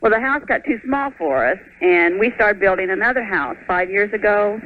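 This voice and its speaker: A woman's voice: medium pitch, lively intonation, moderate pace. A middle-aged woman with an American accent casually asking a question as a caller to a radio show. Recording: noisy, tinny phone line on radio.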